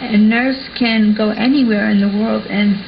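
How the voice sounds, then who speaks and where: Woman as flat, earnest little schoolgirl reciting. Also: mid-range voice, normal speed, creaky, lightly acted. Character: earnest little schoolgirl reading her composition aloud. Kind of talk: scripted puppet show recitation. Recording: dull lo-fi sound with faint noise.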